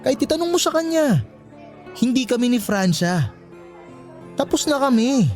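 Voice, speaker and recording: A conflict-shy young father in a Filipino radio drama. His high-pitched voice is flustered and placating, pleading with the women in his life. Faint music sits underneath. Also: very animated, moderate pace, a little mellow. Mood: reassuring.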